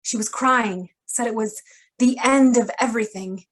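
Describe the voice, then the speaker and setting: An actress playing a detective's loyal, urgent, sharp-tongued female ally in a noir radio drama. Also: on the high side, moderate pace, close to an everyday voice, low-quality recording. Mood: urgent, worried.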